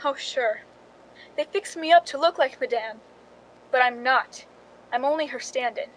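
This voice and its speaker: A woman's voice: sits high, unhurried, low-quality recording. An actress playing a wry, knowing film stand-in who confides her story in a radio drama, in an understated way. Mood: patient, matter-of-fact. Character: wry, cool young woman, film stand-in and scheming narrator. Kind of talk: radio drama acting with confessional narration.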